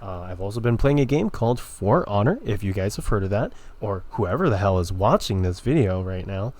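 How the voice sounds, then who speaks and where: A man's voice: on the low side, lively intonation, brisk, darkish tone. A man rambling casually and hesitantly as he introduces a gameplay video, with an offhand manner. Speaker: gaming video creator.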